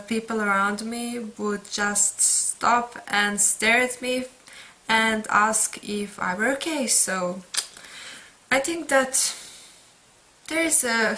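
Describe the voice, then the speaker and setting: A young woman with a Serbian accent, a book vlogger talking casually through a tag video, unhurried and pausing often with fillers. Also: a little high, sharp tone, low-quality recording. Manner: unhurried, pauses with many "uh" fillers.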